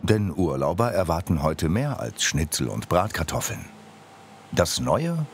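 Deep male documentary narrator. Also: very animated, measured pace, creaky, a little hiss or hum. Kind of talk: scripted voice-over narration.

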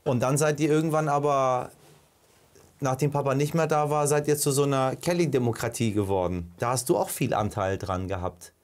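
Man, 30s, interviewer; relaxed, thinks aloud, average pitch. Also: brisk. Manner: relaxed, many fillers, restarts, thinks aloud. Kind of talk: casual interview chat.

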